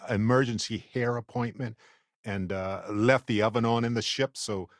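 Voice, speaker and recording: An actor playing a wry, affectionate, talkative space explorer companion in a video game, with a playful, expressive, conversational delivery. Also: male voice, mid-range voice, normal speed, dry recording, studio quality, close to an everyday voice. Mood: awkward, playful.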